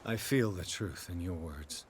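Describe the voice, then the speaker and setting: A man's voice: sits low, a little slow, a little hiss or hum. An actor playing a troubled, grave man seeking the truth, speaking with intense urgency in a video game cutscene. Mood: grave, urgent.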